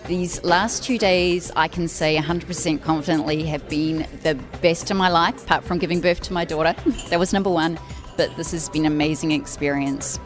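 A woman with a New Zealand accent speaks in a lowish, eager voice and is quick to laugh. She gives a testimonial, and faint music plays behind her. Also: fairly even intonation. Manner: enthusiastic, laughs easily. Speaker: coaching trainee giving a testimonial.

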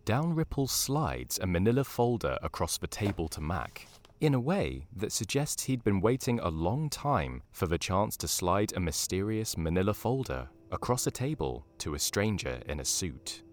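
An actor voicing a wry, deadpan storyteller, narrating a scripted dark comedy. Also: male voice, sits low, normal speed, slight background noise.

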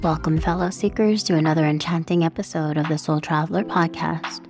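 A woman's voice: deep voice, steady tone, brisk, over music. A woman hosting a spiritual podcast, reading flowery introductions and chatting with a guest in an effusive manner. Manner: flowery, effusive scripted delivery. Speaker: podcast host. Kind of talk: podcast interview, read intro.

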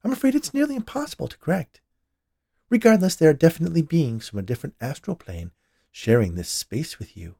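A man's voice: fairly high pitch, very animated, a little fast, gravelly, warm tone, no room sound, studio quality, voice pushed up, full character voice. A man voicing a plump, unperturbed woman spirit medium with a lofty, knowing, explanatory manner in a storybook reading. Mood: knowing, lecturing.

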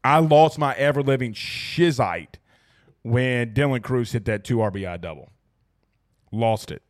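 A hoarse man hosting a casual sports talk show, excitable and emphatic, repeating lines for effect as he reads viewer comments. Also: medium pitch, unhurried.

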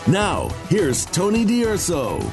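A man's voice: sits high, huge pitch swings, slow. A man reading a scripted show introduction in an upbeat promotional delivery. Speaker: show announcer. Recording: clear music bed behind. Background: music.

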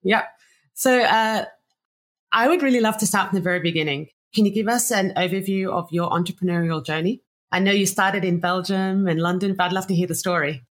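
A woman's voice: medium pitch, brisk. A woman hosting a podcast who reads a scripted intro and then interviews her guest in a friendly, upbeat way. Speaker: podcast host.

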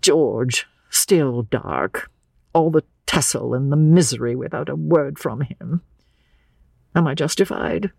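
A woman voicing an earnest old man in a novel, hot-tempered yet tender, speaking with slow, emphatic passion. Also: low-pitched, animated, creaky, darkish tone, husky, no echo, exaggerated voice. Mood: impassioned pleading.

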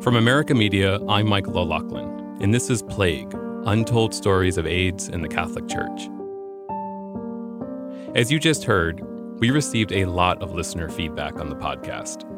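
A man hosting a podcast, giving scripted narration in a measured, earnest way. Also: low-pitched, expressive, loud music behind the voice. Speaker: podcast host.

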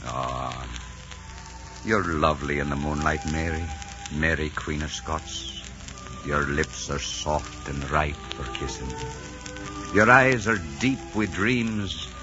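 An actor playing a bold, ardent Scottish lord in a theatrical, romantic 1940s radio drama style. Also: male voice, a bass voice, takes it slowly, over music. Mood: romantic longing. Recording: dull 1940s radio broadcast recording.

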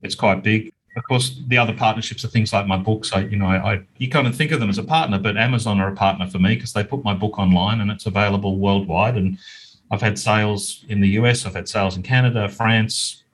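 A man's voice: fairly low pitch, fairly even intonation, fast, husky. A middle-aged Australian man answering interview questions in an earnest, plain-spoken, conversational way. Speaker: interview guest, small business owner.

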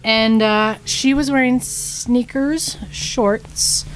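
A woman co-hosting a podcast, telling a story to friends in a casual, animated way and acting out what people said. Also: on the high side, drawn-out words, a little hiss or hum, poor audio. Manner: animated, laughing, acts out quoted speech. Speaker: podcast co-host.

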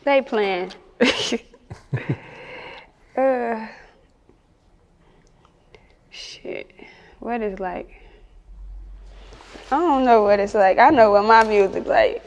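A woman's voice: on the high side, very slow, long pauses. Candid Chicago woman in a reverberant space. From Chicago, United States. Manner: candid, slangy, laughs often. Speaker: rapper being interviewed. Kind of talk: casual interview chat.

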